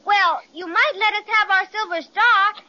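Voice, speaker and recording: Sweet little girl, super high and piercing, polite and earnest, old radio show. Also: a little slow, pushed and bright, slight background noise, full character voice. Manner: earnest, grateful, wholesome. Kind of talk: old-time children's radio serial acting. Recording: dull old radio recording.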